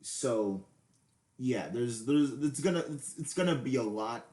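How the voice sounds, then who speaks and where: A man's voice: medium pitch, expressive, a little slow, mellow. A man giving an unscripted, rambling explanation, hesitant and often stammering over repeated words, as an amateur creator talking to viewers. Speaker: amateur animation creator and video host. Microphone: computer microphone. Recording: roomy, echoey home PC recording.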